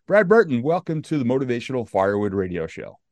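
A man's voice: mid-range voice, sing-song, quick pace, darkish tone, no echo. An American man hosting a podcast, introducing his guest and asking questions in an upbeat, chatty way. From United States. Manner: enthusiastic, chatty. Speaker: podcast host.

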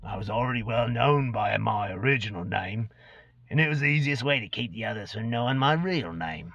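A man's voice: on the low side, expressive, a little fast, husky, heavily acted. A man voicing an ancient, gaunt, cursed goblin, a brooding and unrepentant former killer, in a dramatic reading of a fantasy tale. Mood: solemn, reminiscing. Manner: brooding storyteller recounting dark deeds. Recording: dull lo-fi recording in echoey room.

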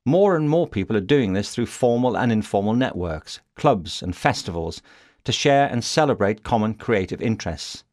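A man narrating a nonfiction audiobook in a measured, steady reading. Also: fairly low pitch, no echo, clean studio sound.

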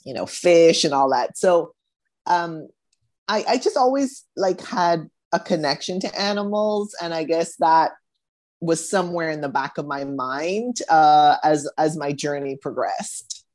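Low-voiced woman from the Bahamas. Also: lively intonation, a little slow, darkish tone. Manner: thoughtful, hesitant, many restarts. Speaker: guest. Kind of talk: casual interview conversation.

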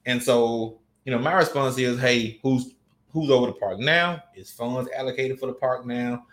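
A man with a Southern US accent talks in a loose, rambling way. His voice sits at a middle pitch and he often restarts his sentences. It sounds like an unhurried podcast conversation. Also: a bit bright. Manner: laid-back, rambling with restarts. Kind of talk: casual podcast chat.

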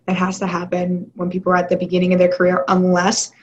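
A woman's voice: lowish voice, monotone, fast talker, muffled recording. A woman hosting an interview, asking questions and laughing along with her guest. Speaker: interview host. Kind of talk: casual interview conversation.

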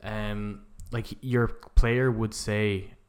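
A man's voice: lowish voice, flat delivery, drawn-out words. A man co-hosting a podcast, talking informally about video games and often saying "like". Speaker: podcast co-host.

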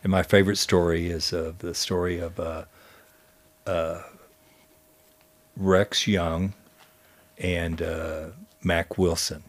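A man telling stories conversationally as a guest, speaking in a halting way with frequent pauses. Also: sits low, level tone. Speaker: guest storyteller and history teacher. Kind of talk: conversational storytelling.

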